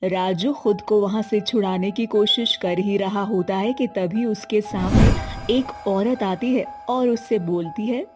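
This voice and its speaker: A woman's voice: mid-range voice, measured pace, music in the background. A woman reading a scripted children's story as its narrator.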